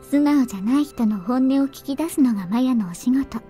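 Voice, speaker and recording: A playful young woman, played by a voice actress in a visual novel game, speaks in a high, animated voice at a very quick clip. She is bold and teasing, with soft music behind her. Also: natural voice. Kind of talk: Japanese visual novel voice acting.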